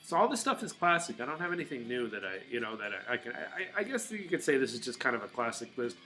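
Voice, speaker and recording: A middle-aged man talks to his viewers in a loose, rambling way, full of restarts and filler words. His voice is very bright and forward, set at a middle pitch. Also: very animated, fast, faint music behind. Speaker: vlogger. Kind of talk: casual rambling monologue to viewers.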